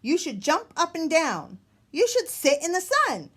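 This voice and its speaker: A woman's voice: extremely high pitch, wildly swooping pitch, measured pace, a bit bright, voice pushed up, exaggerated voice. A woman voicing cheerful, nosy animal friends in a storybook, with an emphatic singsong delivery. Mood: eager urging.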